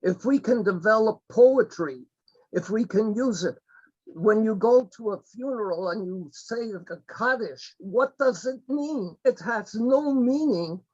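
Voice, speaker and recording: Slow, high-voiced man on a dull call line. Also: animated, breathy edge. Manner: halting, earnest, with many restarts. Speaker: webinar participant. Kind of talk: impassioned remarks on a video call. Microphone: video call.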